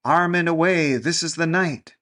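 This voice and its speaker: A man's voice: on the high side, normal speed, fairly bright tone, voice pushed up, lightly acted. A man voicing a chorus of small toy figures chanting a rhyming call to battle. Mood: urgent. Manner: chanting rhymes. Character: chorus of tiny toy voices, eager.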